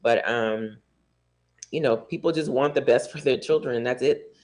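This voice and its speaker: A man from Liberia talks as a guest in an interview, earnest and heartfelt, often pausing on fillers. His voice sits at an ordinary middle pitch for a man. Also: a little mellow. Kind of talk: casual interview talk. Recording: talk show studio.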